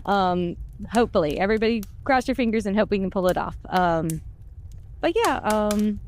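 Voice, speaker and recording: A woman's voice: medium pitch, expressive, moderate pace, a little hiss or hum. A middle-aged woman telling stories casually, in an exuberant, chatty and self-deprecating way. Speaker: audio drama voice actor and podcast cast member. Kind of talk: casual conversational storytelling.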